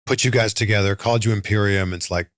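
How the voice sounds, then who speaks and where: A man's voice: lowish voice, steady tone, quick pace, a bit bright, no echo, clean studio sound. A man hosting an interview, asking friendly, prompting questions. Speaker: interview host. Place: studio.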